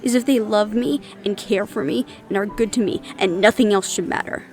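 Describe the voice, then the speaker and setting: A woman's voice: mid-range voice, a little fast, darkish tone, soft background music, close to an everyday voice. A woman acting in an audio roleplay as a young adult daughter, defiant, loyal and argumentative, with an emotional, sarcastic edge. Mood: hurt, resolute.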